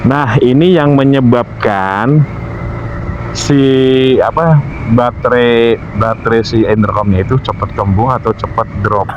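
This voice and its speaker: A man's voice: medium pitch, unhurried, noisy background. Indonesian man explaining casually, dull lo-fi sound. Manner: repetitive, instructive, searching for words aloud. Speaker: motovlogger giving gear care tips. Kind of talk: informal explanatory vlog talk.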